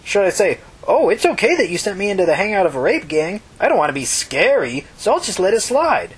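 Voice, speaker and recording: A woman's voice: medium pitch, very animated, fairly quick, slightly rough, voice pushed up. A woman voicing a desperate, stammering teenage runaway girl in an emotional audiobook story. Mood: angry, defensive. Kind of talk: audiobook character dialogue.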